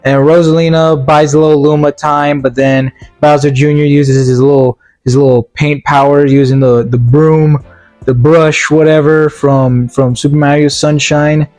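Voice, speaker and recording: A man giving a casual, opinionated movie review as a video commentator, in a halting, repetitive, slangy way. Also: fairly high pitch, little pitch movement, a little mellow, soft background music.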